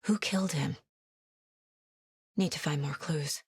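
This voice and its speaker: An actress playing a determined, dry-witted FBI agent in a video game, speaking in a focused, understated way with a husky, breathy voice. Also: female voice, sits low, a little slow, darkish tone, slight background noise. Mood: focused, pensive. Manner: focused, understated, often muttering to herself. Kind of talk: naturalistic video game dialogue.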